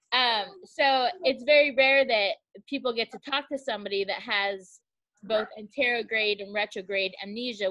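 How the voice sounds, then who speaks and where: Woman, interviewer, friendly explaining, bright sharp tone, slightly high. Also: rough recording. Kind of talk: casual home interview.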